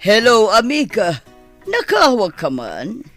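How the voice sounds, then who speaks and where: Man acting a high-voiced fretful mother. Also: wildly swooping pitch, takes it slowly, a bit bright, faint music behind, heavily acted. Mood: worried. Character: fretful middle-aged mother, protective of her grown son. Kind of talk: Ilocano radio comedy drama acting.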